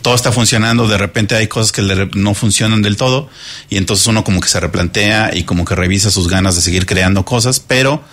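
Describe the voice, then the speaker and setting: A man with a Mexican accent, a musician guest on a radio interview, talking relaxed with many fillers and tag questions. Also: on the low side, fast talker.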